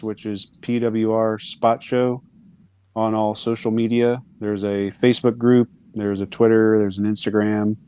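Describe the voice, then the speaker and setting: A man's voice: mid-range voice, darkish tone, smooth. A man speaking casually as a panelist on a video call. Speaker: panelist, podcast co-host.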